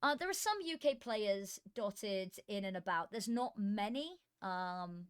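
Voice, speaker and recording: A woman's voice: medium pitch, lively intonation, measured pace, clean tone. Woman streamer with a tired, worn voice, talking casually and muttering to herself as she sets up. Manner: muttering to herself between chatty asides. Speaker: esports streamer and commentator. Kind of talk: casual live-stream chat while setting up. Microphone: desk microphone.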